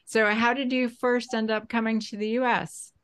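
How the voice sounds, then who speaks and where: A woman's voice: on the high side, flat delivery, normal speed, clean tone. A woman hosting a podcast, asking questions in a conversational way. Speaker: podcast host. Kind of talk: conversational interviewing.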